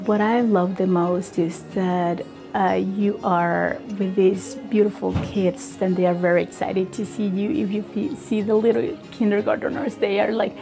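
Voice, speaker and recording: A woman with a Venezuelan accent, a native Spanish speaker, giving a personal testimonial in earnest, halting English. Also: medium pitch, level tone, gravelly, over music. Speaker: kindergarten Spanish teacher.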